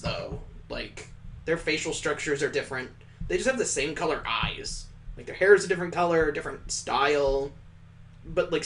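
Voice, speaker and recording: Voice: mid-range voice, a little hiss or hum. A let's-play host reading a visual novel aloud in a dramatic narrating style, breaking off into wry, incredulous, casual asides.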